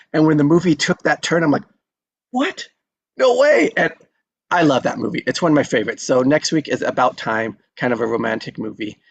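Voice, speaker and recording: A man's voice: fairly high pitch, no echo, muffled recording. A man hosting an online table read, chatting casually in an upbeat, rambling, encouraging way. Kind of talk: casual chat. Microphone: video call.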